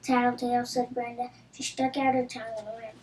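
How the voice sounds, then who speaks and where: A woman's voice: a little high, little pitch movement, brisk, a little mellow. A woman reading a children's storybook aloud. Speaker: storybook reader. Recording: roomy echo with audible background noise.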